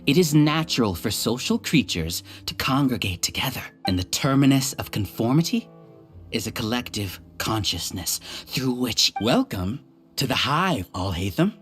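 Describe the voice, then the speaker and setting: An actor playing a smug, persuasive villainous researcher in a video game, with a condescending, grandiose way of talking. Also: male voice, mid-range voice, sing-song, normal speed, fairly bright tone, faint music behind. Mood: grandiose, bitter. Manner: smooth-tongued, condescending, grandiose.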